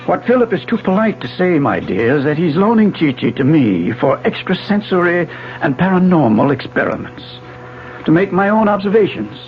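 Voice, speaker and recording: Smug suave husband, lecturing, gravelly edge, muffled 70s radio drama. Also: male voice, high voice, big pitch swings, moderate pace, a little hiss or hum. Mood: condescending, smug. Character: suave, condescending husband and paranormal researcher. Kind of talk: 1970s radio drama acting. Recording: muffled 1970s AM radio broadcast.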